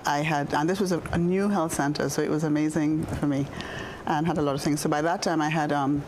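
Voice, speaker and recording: A woman in her sixties from the Bahamas, a guest doctor telling her story in a studio interview, unhurried, with many fillers and restarts. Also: low voice, steady tone, darkish tone, a little hiss or hum, rough recording. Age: 60s. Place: television studio.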